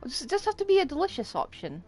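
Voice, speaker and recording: Female gameplay streamer: casual, thinking aloud, highish animated voice, faint music behind her. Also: fast. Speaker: game streamer. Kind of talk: casual live gameplay commentary.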